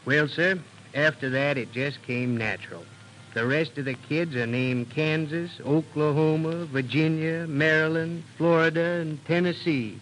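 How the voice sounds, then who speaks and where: A man's voice: on the high side, measured pace, fairly bright tone, faint music behind. A man playing a proud, good-humored rural family man, telling his tale in homespun 1930s radio style. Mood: folksy, amused. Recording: noisy 1930s radio recording.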